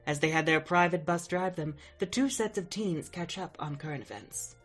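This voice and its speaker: Woman voicing the Abyssal Guardian, deep and eerie. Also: normal speed, slightly rough, faint music behind. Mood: ominous. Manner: calm, ominous, theatrical hosting. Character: mysterious otherworldly host, the Abyssal Guardian. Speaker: story host.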